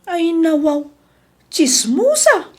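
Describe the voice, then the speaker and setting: A woman's voice: very high-pitched, big pitch swings, extremely slow, exaggerated voice. A woman playing a blunt friend in a melodramatic comedy drama, chiding and emphatic. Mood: reproving.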